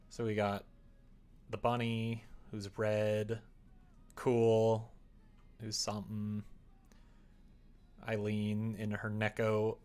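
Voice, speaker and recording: Low-pitched, gravelly man chatting on stream. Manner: rambling, muttering to himself, joking asides. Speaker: live streamer developing a visual novel. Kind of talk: casual livestream chat while working.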